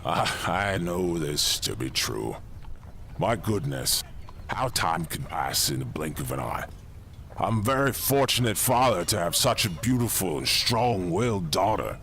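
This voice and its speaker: Fantasy audio drama king, played by a man: very low, gravelly, fatherly and stern about duty, speaking formally. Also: sing-song, measured pace, fairly bright tone, slight background noise, exaggerated voice. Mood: tender, proud. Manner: formal, archaic medieval speech.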